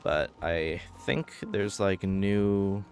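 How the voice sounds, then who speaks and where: Deep-voiced man streaming a game. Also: steady tone, drawn-out words, faint music behind. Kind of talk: casual live gameplay commentary.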